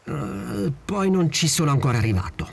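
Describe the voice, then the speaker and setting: An actor in a radio drama playing a bossy, harried narrator who chides the characters impatiently, with a husky voice. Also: medium pitch, sing-song, normal speed, darkish tone, a little hiss or hum. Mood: exasperated. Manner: impatient, chiding.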